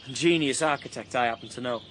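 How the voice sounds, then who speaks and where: An actor playing a cheeky working-class young dreamer in a radio drama, bantering in colloquial speech. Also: male voice, mid-range voice, fast, noisy background, lightly acted. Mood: playful, dreamy.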